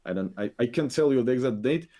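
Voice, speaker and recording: A man, a game director speaking haltingly on a video call, with many fillers and restarts. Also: mid-range voice, lively intonation, warm tone, slight background noise. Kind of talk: podcast guest update, halting.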